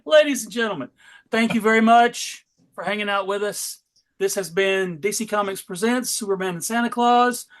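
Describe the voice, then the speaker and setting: A man's voice: high voice, moderate pace. A man hosting a casual, informal panel chat, correcting himself as he goes. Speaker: host.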